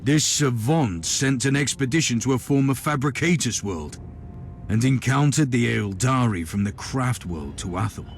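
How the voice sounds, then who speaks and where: A man's voice: mid-range voice, expressive, normal speed, a little hiss or hum, lightly acted. A man playing a zealous, stern imperial inquisitor in a video game, speaking in a formal, commanding way. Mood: determined, wary. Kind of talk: dramatic video game acting.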